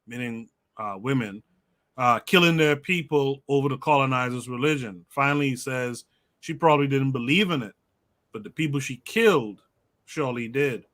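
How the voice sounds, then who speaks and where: A man of ordinary pitch hosts a livestream podcast. He reads viewer comments aloud and passes the floor to his guests in an easygoing way, adding short remarks of his own. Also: normal speed, fairly bright tone. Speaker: podcast host. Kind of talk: moderating a livestream discussion.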